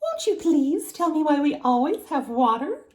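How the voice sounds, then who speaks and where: A woman's voice: high-pitched, big pitch swings, normal speed, darkish tone, voice pushed up. A woman voicing a sweet, sly young girl who pleads curiously, as in a storybook. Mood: sweetly curious.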